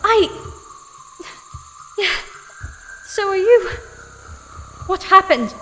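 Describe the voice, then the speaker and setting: Woman playing nervous young spacefaring crewwoman, high pitch, stammering, audio drama, long reverb. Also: fairly bright tone, a little hiss or hum, exaggerated voice. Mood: anxious, searching. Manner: halting, stammering under pressure. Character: young, rattled female space marine crewman, anxious and dutiful. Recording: long reverb, music underneath.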